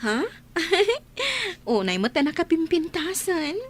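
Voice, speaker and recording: A woman's voice: high-pitched, very animated, slow, heavily acted. A woman with an Ilocano accent playing a sweet, coy young woman being courted in a radio drama.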